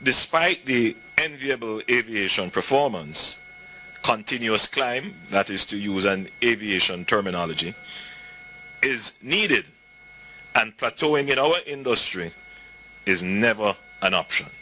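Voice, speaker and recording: A man giving a formal prepared speech in measured phrases. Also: fairly low pitch, animated, pushed and bright, low-quality recording. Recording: faint noise and hum.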